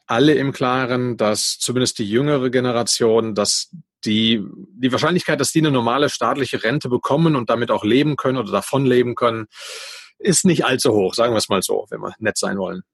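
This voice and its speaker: A man hosting a podcast interview, relaxed and conversational, asking follow-up questions. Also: medium pitch, a little fast, husky, no echo, studio quality. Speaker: podcast host.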